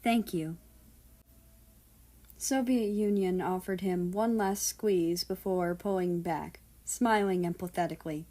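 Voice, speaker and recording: A woman reading fiction aloud as an expressive narrator of a story. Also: on the low side, measured pace, hardly any pauses. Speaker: fan fiction reader.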